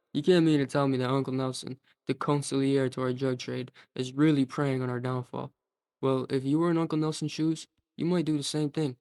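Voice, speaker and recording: A man's voice: mid-range voice, darkish tone, dry recording. A nineteen-year-old man narrating a scripted video about video game lore. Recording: music behind the voice. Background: plucked guitar music.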